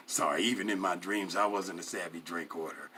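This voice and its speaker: A man reading a first-person story aloud in an animated, expressive way, with emphatic swells and hushed drops. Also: low-pitched, normal speed, a little hiss or hum. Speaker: story reader.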